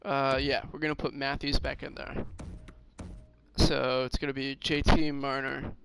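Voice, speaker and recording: A man with a slightly hoarse, raspy voice, casually commentating on his own game and thinking aloud with lots of fillers. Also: mid-range voice, level tone, unhurried, music in the background. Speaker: gaming streamer.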